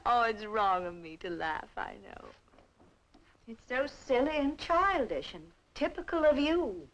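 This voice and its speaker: An actress playing a middle-aged, sharp-tongued, mocking aunt in a radio drama, haughty and articulate. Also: female voice, on the high side, very animated, a little slow, exaggerated voice, low-quality recording. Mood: mocking amusement. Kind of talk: old-fashioned radio drama acting.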